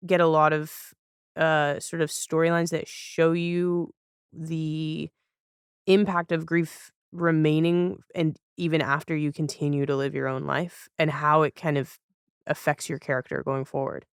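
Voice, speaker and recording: A woman's voice: low-pitched, little pitch movement, a little slow, clear, resonant tone, no echo, studio quality. A woman chatting casually as a podcast guest, thoughtful and often searching for words as she talks about television.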